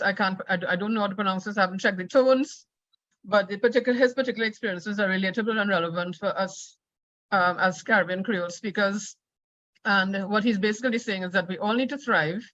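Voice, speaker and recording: A woman from Trinidad and Tobago gives an academic lecture in a loose, conversational way, pausing and rephrasing as she goes. The recording is dry and dull, with little room sound. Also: medium pitch, fairly bright tone, no echo. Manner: conversational, with frequent fillers and restarts. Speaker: conference plenary speaker.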